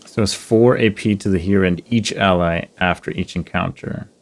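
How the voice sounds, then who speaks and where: A man's voice: sits low, normal speed, creaky. A man doing casual gameplay commentary, thinking aloud in a hesitant, musing way that trails off mid-thought. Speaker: video game player and commentator.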